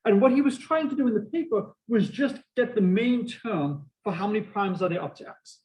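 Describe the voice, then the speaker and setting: A middle-aged man with a Boston accent giving a university math lecture, lively and full of asides and questions to the class. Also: high voice, moderate pace, rough recording. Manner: energetic, prone to asides and questions to students. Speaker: math professor.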